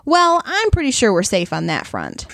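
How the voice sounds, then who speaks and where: Woman acting as shrewd female grifter, audio drama, highish voice, wry, matter-of-fact, dry close sound. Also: huge pitch swings, normal speed, creaky, fairly bright tone, no echo, heavily acted. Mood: wry, businesslike. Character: cynical, shrewd woman con artist running cult finances. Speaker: actor in an audio play.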